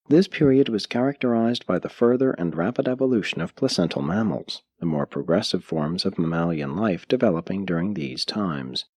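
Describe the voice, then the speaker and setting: A man reading a book aloud as an audiobook narrator, in a measured, steady way with pauses between listed items. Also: sits low, no room sound, studio quality.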